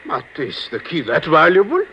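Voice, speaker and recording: A puzzled, officious conductor in a vintage radio play. His highish voice leaps wildly in pitch, with faint noise behind it. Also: normal speed, lo-fi sound, full character voice. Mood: baffled. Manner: puzzled, formal, excitable. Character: bewildered, officious male European train conductor. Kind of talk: 1950s radio drama acting. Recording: noisy vintage radio drama recording.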